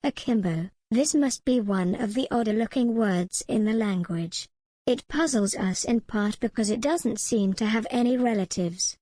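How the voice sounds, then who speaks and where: A woman giving a measured, formal reading of a scripted practice passage. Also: a little high, mellow, dry recording. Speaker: reader of exam practice passages. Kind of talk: scripted read-aloud narration.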